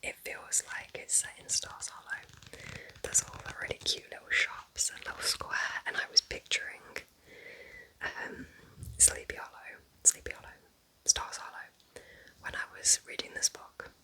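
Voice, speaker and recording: Woman whispering softly in chatty ASMR style. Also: unhurried, rough recording. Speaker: ASMR host reviewing books.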